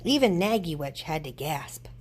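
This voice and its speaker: A woman reading a children's storybook aloud in an expressive narrating style. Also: deep voice, normal speed. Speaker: storybook reader.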